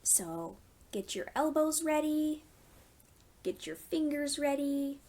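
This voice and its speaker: A woman with a fairly high voice leads a storytime for young children. She takes her time, at a very slow pace. Her phrasing is simple and instructive, suited to little listeners. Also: sing-song, warm tone. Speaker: children's library storytime host.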